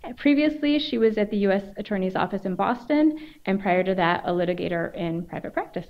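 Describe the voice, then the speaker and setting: A woman moderating a law school panel, reading formal introductions of the speakers in a measured way. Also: medium pitch, expressive. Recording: dull lo-fi sound.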